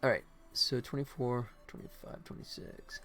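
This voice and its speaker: An American man chats casually as he works on a livestream. His voice sits at an ordinary, middle pitch for a man, and he tends to ramble and think out loud. Music plays along behind him. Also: steady tone, moderate pace. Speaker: livestream host, game developer. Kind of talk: casual livestream chat while working.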